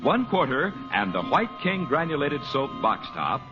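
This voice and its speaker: A man pitching a mail-in offer as a scripted 1940s radio announcer and showman. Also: big pitch swings, moderate pace. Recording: dull vintage radio recording. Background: loud music.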